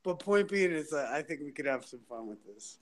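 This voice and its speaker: A man's voice: a little fast, a little hiss or hum. Man riffing on comedy bits. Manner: energetic, pitching jokes, filler-heavy. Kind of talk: casual livestream chat.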